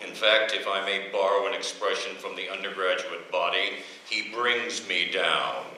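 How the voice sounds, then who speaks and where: A man's voice: sits low, normal speed, natural voice. A man playing a pompous, irritable middle-aged American father in old-time radio comedy style, with theatrical, emphatic diction. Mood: pompous irritation. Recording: echoey, faintly noisy stage recording.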